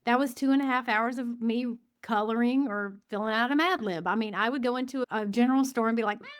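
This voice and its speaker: A woman in her fifties, a guest on a podcast, telling stories with animated shifts in pace and frequent self-quotes. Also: a little high, smooth. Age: 50s. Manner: enthusiastic storyteller, quotes herself often, speeds up and slows down. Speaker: guest, gratitude coach and author. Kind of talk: conversational podcast interview.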